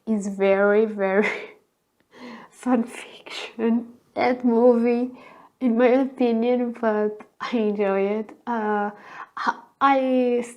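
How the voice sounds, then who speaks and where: A woman with a thin, girly voice talks slowly and unhurriedly, hoarse from a cold. She hesitates often and fills the gaps while she looks for the right word. The recording sounds dull and lo-fi, and her voice sits a little high. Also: mellow. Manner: hesitant, searching for words, frequent fillers. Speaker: vlogger. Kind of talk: casual solo chat.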